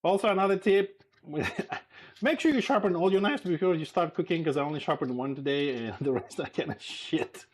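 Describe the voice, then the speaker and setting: A man's voice: fairly high pitch, moderate pace. A man from Greece, whose first language is Greek, chatting casually on a live stream as he cooks in his home kitchen. He is easygoing, laughs often, and has a slight lisp when he speaks English. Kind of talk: casual live-stream chat while cooking.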